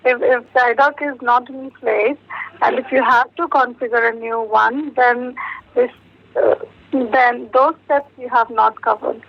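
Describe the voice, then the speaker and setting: A woman with an Indian accent interviewing a candidate over the telephone, measured, pausing and rephrasing her questions. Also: a little high, fairly even intonation, creaky, no echo. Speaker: job interviewer. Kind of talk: technical phone interview questioning. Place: telephone call. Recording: muffled, dull telephone interview recording.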